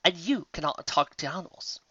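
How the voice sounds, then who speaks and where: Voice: mid-range voice, expressive, fairly quick, bright, forceful tone, breathy edge, no echo, dull audio, pitched above the performer's own voice. A reader voicing a stiff, proper young noble mare who speaks formally.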